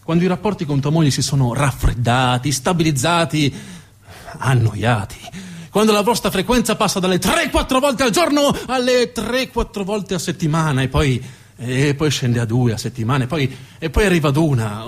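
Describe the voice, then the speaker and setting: A male stage actor delivers a dramatic narrative monologue. His voice is husky and on the high side, swinging widely in pitch, with emphatic repetitions and deliberate pauses. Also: sing-song. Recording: music behind the voice.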